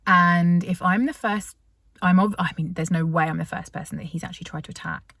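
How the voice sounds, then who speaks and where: Chatty British woman with a lowish voice. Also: steady tone, fairly quick, fairly bright tone, dry recording, studio quality. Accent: British English. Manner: chatty, many fillers and restarts. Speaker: vlogger. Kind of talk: casual storytelling to camera.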